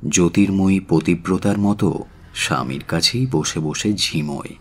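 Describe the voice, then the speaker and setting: A man narrating a literary audio story in a measured, solemn and dramatic way. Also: deep voice. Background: faint music.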